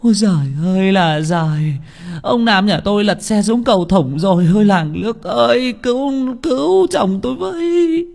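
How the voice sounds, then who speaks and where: A man voicing a frantic middle-aged village wife, wailing for help in shrill cries. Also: normal speed, gravelly, darkish tone. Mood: panicked wailing.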